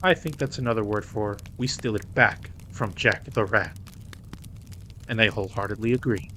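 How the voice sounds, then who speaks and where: A man's voice: on the low side, normal speed, pushed and bright, a little hiss or hum. A man playing a dominant, teasing mafia boss in a roleplay audio drama, commanding and sometimes slipping into Spanish. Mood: wry, measured. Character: dominant, teasing male mafia boss, indignant yet protective.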